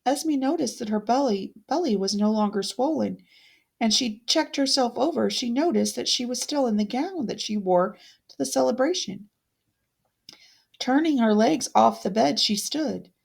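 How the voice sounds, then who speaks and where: A woman reading a fairytale aloud as a storyteller, with deliberate, dramatic expression. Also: a little high, lively intonation, creaky, darkish tone, husky.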